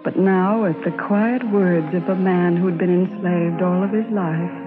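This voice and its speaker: An actress playing a brave young woman spy in an old-time radio drama, speaking in a solemn, dramatic, halting way. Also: fairly low pitch, steady tone, phone-quality sound, close to an everyday voice. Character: brave young woman spy narrating her wartime ordeal. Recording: thin vintage radio recording, music behind.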